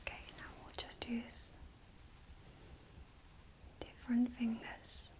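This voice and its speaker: Slow, whispering woman doing ASMR. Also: on the high side, completely flat delivery. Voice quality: breathy whisper. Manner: gentle, unhurried step-by-step explaining. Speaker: makeup and colour analysis stylist. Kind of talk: unscripted ASMR, softly narrating a styling session to a client.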